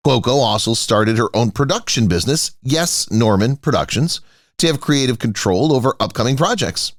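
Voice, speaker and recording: A man narrating a scripted entertainment rundown with a swooping, highly animated pitch. His voice sits at a middling pitch and sounds dry and close, with no room around it. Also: no echo. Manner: announces each name as a raised heading.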